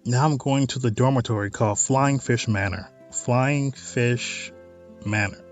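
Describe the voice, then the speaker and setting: A man's voice: medium pitch, measured pace, faint music behind. A man hosting a let's play of a visual novel, reading text aloud and adding informal, wry asides. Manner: informal, wry side comments on what is read.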